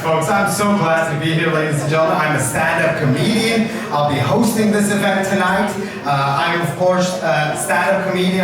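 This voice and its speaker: Male, stand-up host, animated crowd work, very reverberant venue, distant noisy recording. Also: high voice. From Turkey. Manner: animated, addresses the audience directly, tags sentences with "okay?". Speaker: stand-up comedian hosting a science slam. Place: indoor event venue with live audience. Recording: echoey, noisy live venue, far from mic.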